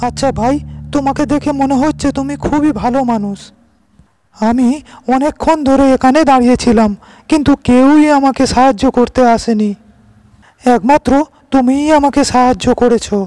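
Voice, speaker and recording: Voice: sits high, moderate pace, dry recording, lightly acted, low-quality recording. An actor voicing a kindly, assured rich businessman in a cartoon moral story, speaking courteously. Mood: friendly. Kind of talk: Bengali animated moral story dialogue. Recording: loud music under the voice.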